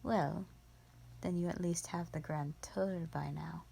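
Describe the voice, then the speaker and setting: A woman voicing a bored, contemptuous female vampire in an audio roleplay, with a breathy, creaky voice and a disdainful, formal drawl. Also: lowish voice, fairly even intonation, measured pace, warm tone, a little hiss or hum. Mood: bored, haughty. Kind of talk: scripted roleplay monologue for the listener.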